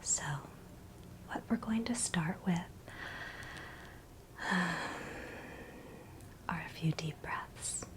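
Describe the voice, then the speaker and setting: A woman leads an ASMR guided meditation in a soft, breathy whisper, speaking with gentle, soothing reassurance. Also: lowish voice, measured pace.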